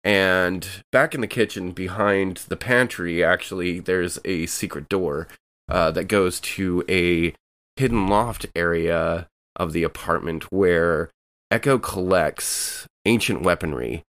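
A man's voice: deep voice, takes it slowly, fairly bright tone, dry recording. A man playing a tabletop role-playing game, describing his character's actions in a rambling, laid-back way. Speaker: player.

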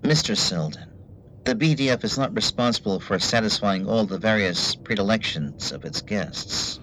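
Man acting a low-voiced, prim officious bureaucrat. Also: expressive, normal speed, fairly bright tone, slight background noise, low-quality recording. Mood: stiff, officious. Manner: formal, stiffly polite, pedantic. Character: prim, officious male bureaucrat running a facility's intercom service. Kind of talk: radio play acting.

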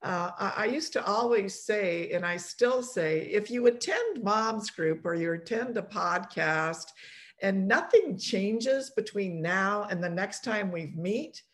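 A woman's voice: mid-range voice, takes it slowly. A middle-aged woman from Poland hosting a casual podcast chat over a video call, chatty, laughing often and restarting her sentences. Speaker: podcast host.

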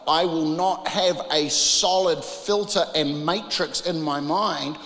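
A man from New Zealand with a New Zealand accent preaches an emphatic, informal charismatic sermon, using dramatic pauses and rhetorical questions. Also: a little high, a bit bright. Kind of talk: charismatic sermon, part exhortation and part Bible reading. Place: large reverberant room, preaching to a congregation. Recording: echoey, reverberant large room.

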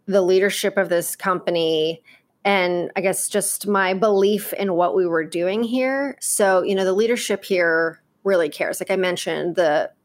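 A woman guest in a casual interview, speaking directly and candidly in quick bursts, often saying "like" and "you know". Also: fairly low pitch. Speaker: guest, operations executive.

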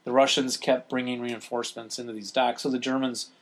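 A man's voice: mid-range voice, flat delivery, a little fast, a bit bright. Man casually explaining as podcast host. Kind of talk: casual chat, reading listener letters aloud.

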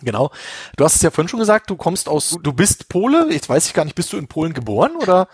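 A man's voice: on the high side, big pitch swings, fast, husky, no room sound, clean studio sound. A man from Berlin hosting a casual interview, chatty and joking. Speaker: interview host.

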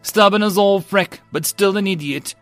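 A man reading a story aloud and voicing a tough, energetic female Marine who teases and grins as she talks. Faint music plays under the reading. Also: sits high, normal speed, pitched above the performer's own voice, natural voice. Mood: teasing. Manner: teasing, energetic, grinning.

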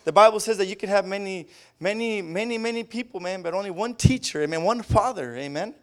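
A man with a Spanish accent preaching a sermon, tacking an amen onto nearly every phrase and rising into loud fervent bursts. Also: sits high, a little fast. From Southern California. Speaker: pastor.

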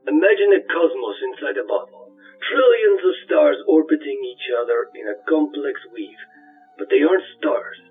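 A man's voice: fairly high pitch, very animated, moderate pace. A man voicing an earnest, devout and self-important robotic ghost companion, speaking in a solemn, preachy way through a radio-like filter. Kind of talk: audio drama dialogue. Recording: muffled radio-like sound, distant, music.

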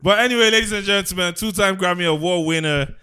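A male podcast host with a high, bright voice. He is hyped and playful, trading teasing banter with his co-hosts as he opens the show. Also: fast.

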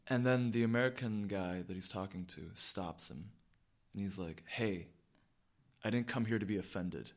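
Excitable low-voiced man telling a story. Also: moderate pace. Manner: excitable, slangy, repeats himself. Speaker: podcast co-host. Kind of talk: casual chat, animated storytelling.

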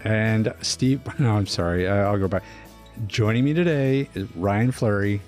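A man's voice: lowish voice, measured pace, a little mellow, faint music behind. A man hosting a casual podcast, joking around with his co-hosts. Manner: joking, self-deprecating. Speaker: podcast host.

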